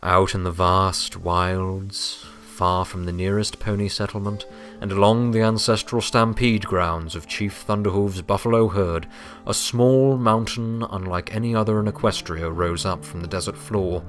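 Male narrator for scripted fiction, low pitch, soft music underneath. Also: steady tone, a little slow, few pauses.

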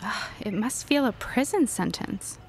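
A woman's voice: medium pitch, lively intonation, measured pace, faint music behind. A woman playing a gossipy, scheming teenage schoolgirl with an emphatic, melodramatic manner in a period audio drama. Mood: curious. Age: teenage character.

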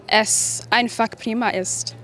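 A woman's voice: a little high, steady tone, slow pace, sharp tone, slight background noise. A woman answering casually in a street interview. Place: outdoors. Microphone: interviewer's handheld microphone.

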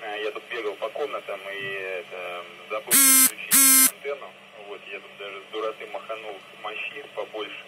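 A man chatting casually and haltingly as an amateur radio operator, heard through a two-way radio speaker. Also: fairly low pitch, big pitch swings. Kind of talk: casual ham radio chat. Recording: noisy two-way radio transmission via speaker.

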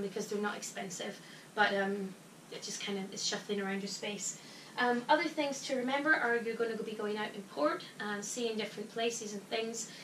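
A woman's voice: mid-range voice, a bit bright, a little hiss or hum. A woman in her thirties giving casual packing advice in a small bedroom, chatty with frequent ums, recorded by a camera across the room. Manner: chatty, frequent "um" fillers, explains while packing. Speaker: vlogger giving cruise packing tips. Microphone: fixed camera from above across the room. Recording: echoey room, voice from a distance.

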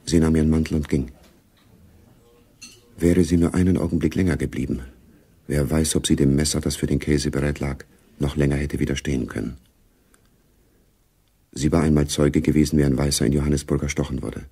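Very deep, creaky male narrator. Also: little pitch movement, warm tone. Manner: sober, measured literary delivery. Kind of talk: scripted radio drama narration.

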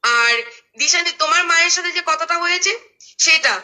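A woman's voice: extremely high pitch, normal speed, pushed and bright. A middle-aged woman explains at length on a phone call, emphatically checking that she is understood. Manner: emphatic, repeatedly seeking confirmation. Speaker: social media creator.

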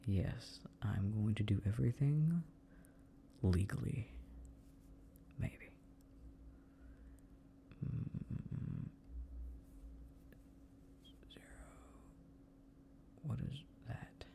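A man doing a soft ASMR boyfriend roleplay, murmuring and half-whispering to himself as he works. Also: on the low side, lively intonation, extremely slow, creaky, pauses often. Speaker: audio roleplay performer playing a boyfriend. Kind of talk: soft improvised ASMR roleplay, muttering to himself while working.

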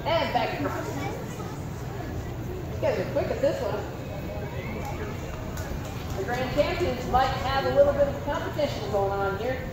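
A woman's voice: high-pitched, lively intonation, measured pace, long pauses, far from the mic. A woman announcing a fair contest, giving upbeat play-by-play and countdowns from a covered arena. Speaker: event announcer. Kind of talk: live event commentary. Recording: echoey PA sound from afar, crowd noise.

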